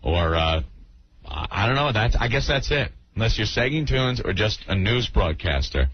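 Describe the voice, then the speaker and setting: A man's voice: on the low side, animated, moderate pace. A man co-hosting a talk radio show with casual banter, heard on a taped broadcast. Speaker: radio co-host. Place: radio studio. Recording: dull, faintly noisy radio tape.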